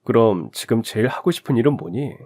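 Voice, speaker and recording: A man voicing a kind middle-aged father in a radio drama story, speaking gently and invitingly. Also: mid-range voice, lively intonation, a little fast, natural voice. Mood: gentle.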